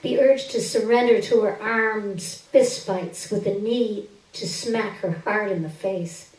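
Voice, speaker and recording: A woman giving an expressive, deliberate reading of first-person literary fiction on stage, with drawn-out phrases. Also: mid-range voice. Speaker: reader of a short story on stage. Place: indoor stage, live reading. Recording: roomy stage recording, distant microphone.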